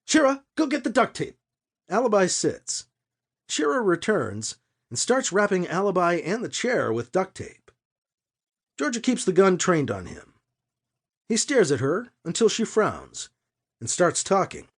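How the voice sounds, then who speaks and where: High-voiced, very animated male audiobook narrator. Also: a little slow, slightly rough. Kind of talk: dramatic scripted fiction narration.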